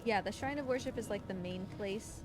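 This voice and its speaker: A woman's voice: mid-range voice, fairly quick. Woman speedrunner chatting over game music. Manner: chatty, explanatory, talks fondly to game creature. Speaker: video game speedrunner streaming live. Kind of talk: casual live gameplay commentary. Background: game music and game sounds.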